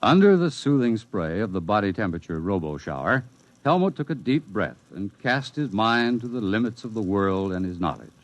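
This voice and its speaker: A man narrating a futuristic 1950s radio drama in a measured, wry way over music. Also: on the low side, big pitch swings, dry recording.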